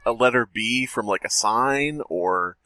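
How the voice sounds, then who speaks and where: A man's voice: medium pitch, unhurried, pushed and bright, no room sound. A man co-hosting a casual podcast, laughing often and mocking the story they read. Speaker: podcast co-host. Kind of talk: casual comedic chat.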